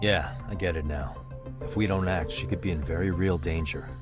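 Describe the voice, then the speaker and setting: A man's voice: deep voice, normal speed, lightly acted. An actor playing an easygoing adult male private detective in a video game dub, casual and dryly understated. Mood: concerned. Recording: music under the dialogue. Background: music.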